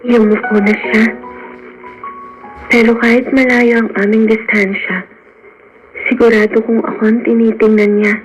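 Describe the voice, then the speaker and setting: A woman's voice: a little high, flat delivery, normal speed, long pauses, soft background music, natural voice. A woman playing a polite, dreamy young hacienda heiress in a Filipino radio drama. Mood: wondering, smitten. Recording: noisy radio broadcast.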